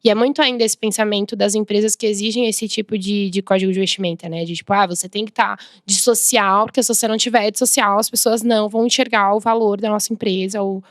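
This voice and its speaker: A woman's voice: medium pitch, steady tone, fast talker, hardly any pauses, no echo. A young Brazilian woman answers as a podcast guest in a confident, emphatic way. She slips into voices for the people she quotes. Her voice sits mid-range and sounds close and dry. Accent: Brazilian Portuguese. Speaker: podcast guest, diversity and inclusion expert. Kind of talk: conversational interview answer.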